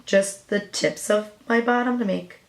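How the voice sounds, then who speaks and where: A young woman with a raspy voice, chatting casually through a makeup tutorial as a new beauty vlogger. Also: medium pitch, a little slow, roomy sound. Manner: chatty, hesitant, with fillers and apologies.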